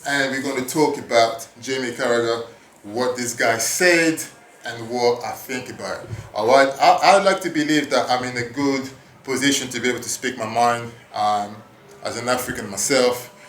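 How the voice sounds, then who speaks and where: A man in his thirties, raised in France, hosting a casual podcast monologue in an animated, hyping way with casual swearing, recorded at a gym. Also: mid-range voice, moderate pace, roomy sound, slight background noise. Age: 30s. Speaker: podcast host. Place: gym at work.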